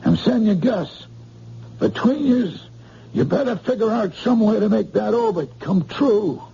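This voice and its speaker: An actor playing a menacing gangster boss in a 1950s radio drama, with tough slangy gangster talk. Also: male voice, sits high, a little slow, creaky, mellow, breathy edge, exaggerated voice. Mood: threatening. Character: tough male mob boss, menacing and demanding. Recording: dull lo-fi old radio broadcast.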